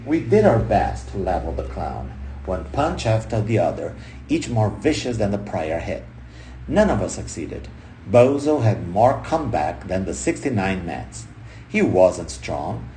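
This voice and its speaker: A man reading a book aloud expressively, in punchy short phrases with dramatic pauses and emphasis. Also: low voice, big pitch swings, warm tone, slight background noise. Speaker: audiobook reader.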